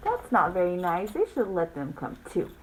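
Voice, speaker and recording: A woman's voice: fairly low pitch, huge pitch swings, normal speed. A woman chatting to young children about a story, asking simple leading questions. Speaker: teacher commenting on story. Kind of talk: casual commentary to young children. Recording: clearly noisy background.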